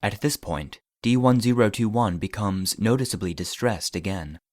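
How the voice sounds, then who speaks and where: An actor playing an impassive database voice, formally narrating incident logs in an audio drama. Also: male voice, lowish voice, moderate pace, noisy background, lightly acted. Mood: detached. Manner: formal, detached. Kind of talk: scripted narration.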